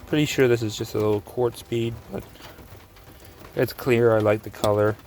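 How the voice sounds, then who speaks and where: A man casually narrating his rock hunt on a rainy beach outdoors, relaxed and musing, thinking aloud with small restarts. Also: mid-range voice, moderate pace, slight background noise. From Canada. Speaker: rockhounding vlogger.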